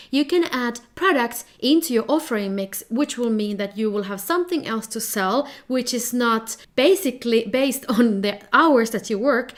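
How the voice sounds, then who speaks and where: Finnish-accented woman presenting a workshop. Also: fairly high pitch. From Finland.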